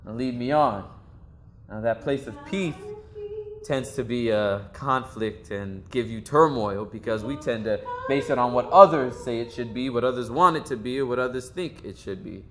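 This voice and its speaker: A man's voice: medium pitch, moderate pace, fairly bright tone, natural voice. An actor playing a young man who earnestly reflects on his path in life, speaking a long stage monologue. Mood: reflective. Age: young adult. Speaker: lead actor in a stage play.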